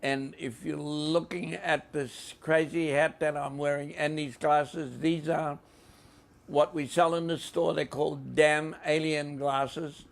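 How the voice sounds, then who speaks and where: A man with a New Zealand accent hosts an informal show. His voice is slightly high and quite creaky, and he speaks very slowly, in a halting, deliberate way. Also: fairly even intonation. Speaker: podcast host.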